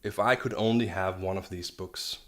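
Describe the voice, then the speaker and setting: A man's voice: low voice, fairly even intonation, normal speed, fairly bright tone. A man from Denmark, giving an enthusiastic, rambling video review of a manga and often restarting his sentences. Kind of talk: casual video review monologue.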